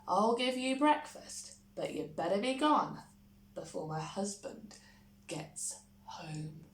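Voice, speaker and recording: A woman voicing a brisk, bossy but helpful giantess in a storybook, with firm emphasis. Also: medium pitch, lively intonation. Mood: warning. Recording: roomy echo, faint background noise.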